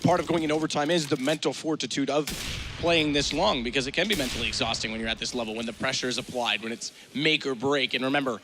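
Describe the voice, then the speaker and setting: A man's voice: sharp tone, slight background noise. A young man doing joking color commentary on an esports match, speaking into a headset mic in a studio. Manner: joking, climbs in pitch on big plays. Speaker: esports co-caster. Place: studio set with gaming stations.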